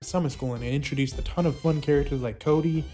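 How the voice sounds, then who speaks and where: A man's voice: on the high side, quick pace, dark tone. A man narrating an informal video essay with casual opinions and some mild swearing. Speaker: video essayist reviewing a puppet show's history. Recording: music playing behind the voice.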